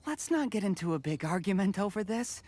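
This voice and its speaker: A woman's voice: medium pitch, very animated, fairly quick, mellow, slight background noise, lightly acted. An actress playing a sensible, firm woman in a video game, reasoning and placating as a peacemaker. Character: sensible, firm female peacemaker among exam candidates.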